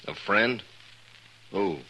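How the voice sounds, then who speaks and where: Blustering old-west gambler heavy, piercingly forceful, clipped, vintage radio drama with faint noise. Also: male voice, on the low side, very slow, full character voice. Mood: rattled. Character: slick, defensive male western villain, an ex-gambler. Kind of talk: 1950s old-time western radio drama acting. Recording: noisy 1950s radio recording.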